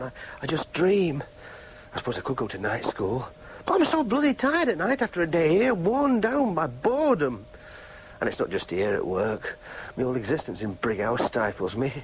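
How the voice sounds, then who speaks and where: A man's voice: a little high, a little hiss or hum, low-quality recording. An actor playing a discontented, dithering young Yorkshire man in a radio drama, hesitant and self-doubting. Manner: hesitant, self-doubting, exclamatory when roused.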